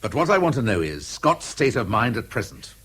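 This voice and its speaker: An actor playing a sceptical police inspector in a radio drama, formal, incisive and deliberate, with an English accent. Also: male voice, mid-range voice, very animated, fairly bright tone, breathy edge. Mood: probing, insistent. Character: probing, sceptical male police inspector, brusque with subordinates. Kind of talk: 1970s British radio drama acting.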